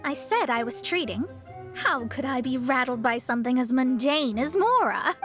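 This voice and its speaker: A woman voicing a proud young astrologist who speaks in a lofty, formal way and stammers when flustered. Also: high voice. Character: proud young female astrologist, haughty but broke.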